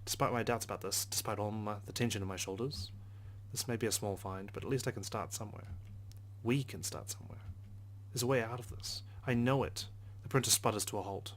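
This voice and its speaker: A man's voice: lowish voice, fairly quick, slight background noise. A man reading a visual novel aloud as a let's play host, narrating expressively with casual asides. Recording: game music behind the voice.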